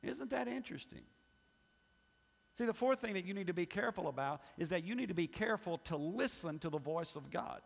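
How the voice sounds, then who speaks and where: A man's voice: high-pitched, expressive, brisk, gravelly, husky. A middle-aged man preaching from the pulpit with a slightly raised voice, pressing hard on some lines and dropping almost to a murmur on others. His words ring out with a long reverb through a big church hall. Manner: emphatic preaching that swings from loud to hushed. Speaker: pastor. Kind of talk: sermon. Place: church sanctuary.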